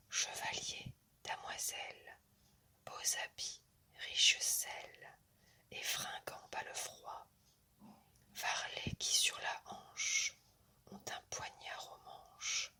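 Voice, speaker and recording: Very slow whispering woman reading poetry. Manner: soft, deliberate whispering in short measured phrases. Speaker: ASMR poetry reader. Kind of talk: whispered ASMR reading of classic verse.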